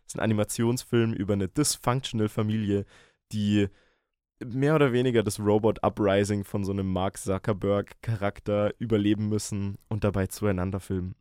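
A man's voice: lowish voice, steady tone, darkish tone, clean tone, no room sound, clean studio sound. A young man co-hosting a casual film podcast, playful and teasing. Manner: playful, teasing, quick with jokes. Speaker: podcast co-host.

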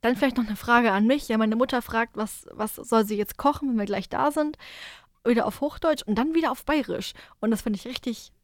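Young woman, podcast co-host, playful and teasing, slightly high voice, dry studio sound. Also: brisk, dry recording. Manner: playful, teasing, asks questions. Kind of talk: casual chat.

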